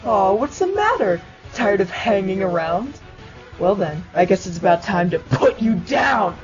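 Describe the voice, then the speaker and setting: A woman voicing a vengeful skeleton who taunts with menacing puns in an animated comic dub. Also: mid-range voice, unhurried. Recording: lo-fi audio under loud music.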